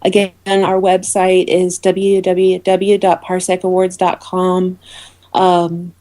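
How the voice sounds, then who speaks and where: A woman speaking as an interview guest, explaining things informally in a halting way with many ums. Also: fairly low pitch, completely flat delivery, mellow. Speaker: interview guest, awards organiser.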